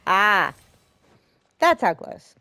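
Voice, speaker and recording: A woman's voice: mid-range voice, very animated, extremely slow, sharp tone, no room sound, studio quality. A woman giving casual, reactive let's play commentary, often muttering to herself as a game streamer. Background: game music.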